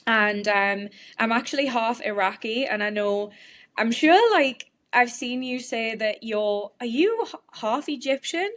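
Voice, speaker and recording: A woman's voice: fairly high pitch, measured pace, a bit bright. A woman in her late twenties from Newcastle, speaking with a northeast English Geordie accent, answering interview questions in a chatty, open way. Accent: Geordie (northeast England). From Newcastle, northeast England. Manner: chatty and open, explains at length. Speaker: guest, bodybuilding competitor.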